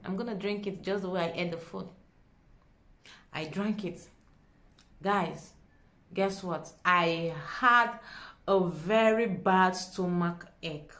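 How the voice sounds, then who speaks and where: A young woman with a Nigerian accent tells a story to camera in a dramatic, expressive way, acting out dialogue as she goes. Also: on the low side. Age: young adult. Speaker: vlogger. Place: small indoor room at home. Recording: echoey small room.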